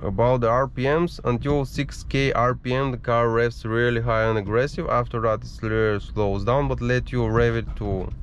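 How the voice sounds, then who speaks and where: A man's voice: medium pitch, level tone, moderate pace, few pauses. A man from Bulgaria speaking English, giving a casual, blunt review of a car while he drives it. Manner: candid, loose phrasing, blunt opinions. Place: inside a car while driving. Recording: muffled with loud road and engine noise.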